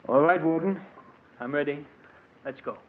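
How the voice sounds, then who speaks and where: A man acting a condemned prisoner calmly facing his execution in an old radio drama. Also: a little high, normal speed, lightly acted. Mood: resigned farewell. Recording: muffled, noisy old radio recording.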